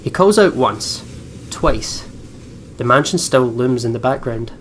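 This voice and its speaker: A man's voice: medium pitch, lively intonation, unhurried, fairly bright tone. A man hosting a let's play, reading story text aloud and breaking in with reactions and asides. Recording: clear background noise.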